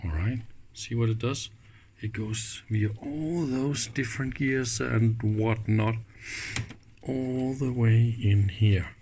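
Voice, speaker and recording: Slow-paced man thinking aloud. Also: medium pitch, dark tone. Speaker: host of an electronics teardown video. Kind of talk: casual hands-on commentary. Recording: clicks and faint background noise.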